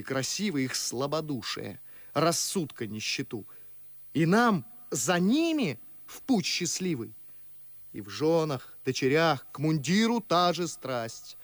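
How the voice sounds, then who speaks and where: Young idealist nobleman, sarcastic, declamatory, lively highish voice, dry studio sound, radio verse drama. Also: no echo.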